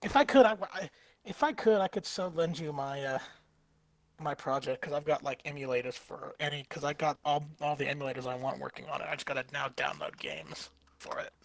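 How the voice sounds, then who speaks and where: A young man chatting casually while streaming a game late at night, tired and rambling, restarting his sentences as he gets excited about old games. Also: fairly high pitch, brisk, creaky. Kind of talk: casual chat while gaming.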